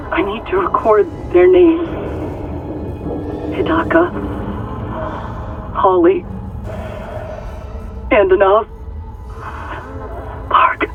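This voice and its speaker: A woman's voice: a little high, exaggerated voice. An actress playing an earnest, committed woman scientist recording audio logs in a sci-fi horror game. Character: committed female hydroponics scientist facing deadly disaster. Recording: tinny comms-like log, music behind.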